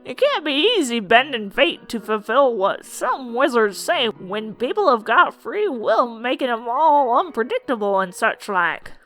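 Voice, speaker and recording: A woman's voice: on the high side, big pitch swings, unhurried, hardly any pauses, a bit bright, heavily acted. A woman voicing a folksy, wry old monster schoolteacher in a fan comic dub, explaining things in colloquial speech. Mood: earnest, folksy. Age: older. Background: music.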